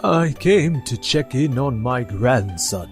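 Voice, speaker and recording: An actor plays an elderly male company chairman from an anime office drama. He speaks slowly at a medium pitch, stern, measured and full of authority, over faint music. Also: expressive. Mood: calm. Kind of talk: anime-style manga dub acting.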